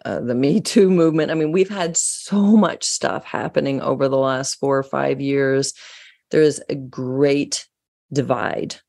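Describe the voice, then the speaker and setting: A woman from the United States, a guest in an interview, telling stories in an earnest, animated way. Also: very deep voice, gravelly. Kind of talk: casual interview talk.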